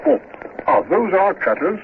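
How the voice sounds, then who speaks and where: An actor playing a steady, loyal naval captain in a vintage radio drama, giving plain reports and calling gun orders. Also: male voice, sits high, unhurried, vocal fry, full character voice. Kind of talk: 1950s radio drama acting. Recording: tinny noisy old radio recording.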